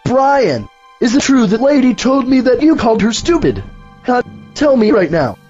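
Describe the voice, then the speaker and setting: A hot-tempered coffee shop boss barks orders and screams at his staff, as a man acts him out. His voice sits very high, husky and breathy, and it swings hard up and down. Music plays faintly behind him. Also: very animated, moderate pace, lo-fi sound, full character voice. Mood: stern. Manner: yelling, bossy. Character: short-tempered male coffee shop manager.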